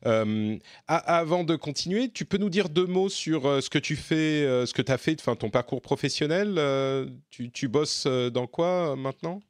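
A man's voice: on the high side, moderate pace, hardly any pauses, no room sound, clean studio sound. A man hosting a French tech podcast, talking casually with many fillers and restarts. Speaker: podcast host.

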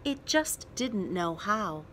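A woman reading a children's picture book aloud as storyteller, with expressive emphasis and dramatic pauses. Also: medium pitch, a little hiss or hum.

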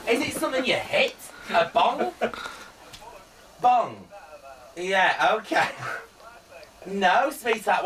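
A man's voice: very high-pitched, sharp tone, recorded from a distance, slight background noise, exaggerated voice, rough recording. A man playing a camp, flamboyant male psychic, cooing "darling" at callers in a radio comedy bit. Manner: camp, mock-mystical, coos "darling" and "sweetheart". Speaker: studio guest psychic. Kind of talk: improvised comedy character on radio.

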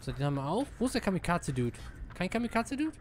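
Lively gamer guy, higher-pitched. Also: racing, slight background noise. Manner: slangy, excitable, mixes English gamer words into speech. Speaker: let's player. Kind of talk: casual live gameplay commentary.

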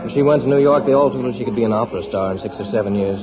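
An actor playing a lovesick, plain-spoken young army private in a 1940s radio drama. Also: male voice, mid-range voice, very fast, a crowd in the background, close to an everyday voice. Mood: glum. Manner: glum, informal. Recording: dull 1940s radio recording, loud music.

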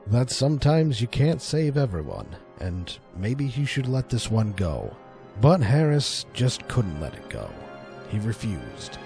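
Male storyteller for scripted drama: low register, lively intonation, faint music bed. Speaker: narrator.

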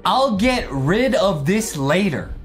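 A man, hosting a gaming video with excitable, emphatic commentary aimed at viewers. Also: high voice, slow pace, soft background music. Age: 20s. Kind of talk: hyped gameplay commentary addressed to viewers.

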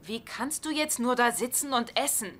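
An actress playing an anxious, sarcastic wife with a nervy, emphatic delivery in a dubbed sitcom. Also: female voice, a little high, moderate pace, fairly bright tone, close to an everyday voice. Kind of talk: German-dubbed sitcom acting.

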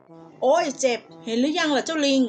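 A woman voicing an ungrateful, sly male wolf in a storybook fable, with slow, drawn-out lines. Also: high voice, soft background music, voice pushed up, close to an everyday voice. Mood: demanding.

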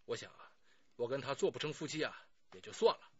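A man's voice: mid-range voice, animated, fairly quick, a bit bright, slightly rough, dry recording, dull audio. An actor playing a talkative, self-justifying middle-aged man under police questioning in a radio drama, pleading and sighing as he explains. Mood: regretful.

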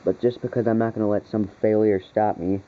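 A man's voice: fairly low pitch, steady tone, fairly quick. A man giving casual, off-the-cuff commentary to his viewers in an irritated way, swearing freely, recorded indoors on a handheld camera. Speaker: hobbyist video maker recording weather radio alerts. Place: dark room indoors at a computer. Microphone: handheld camera, speaker behind it. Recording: muffled lo-fi camera audio with noise.

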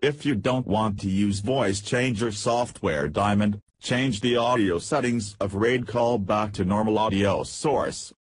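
A man narrating a scripted software tutorial, reading out instructions step by step. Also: lowish voice, unhurried, few pauses, breathy edge, no room sound.

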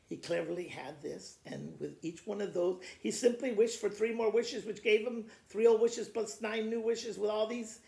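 A man in his sixties reading poems aloud for children in an expressive storytime style. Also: high voice, vocal fry. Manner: expressive, theatrical delivery. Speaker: school principal reading to students. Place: living room by a fireplace. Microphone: camera a short distance away. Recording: roomy indoor echo.

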